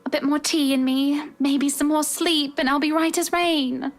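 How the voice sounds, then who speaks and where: An actress playing a patient, gently teasing young wife in a visual novel game, soothing and reassuring. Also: female voice, high-pitched, fairly even intonation, normal speed. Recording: game music behind. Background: music.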